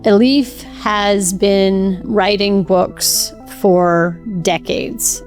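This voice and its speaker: A woman hosting a podcast reads a scripted introduction at an even pace, speaking admiringly of her guest. Her voice sits mid-range, with soft music playing faintly beneath it. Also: medium pitch. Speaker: podcast host.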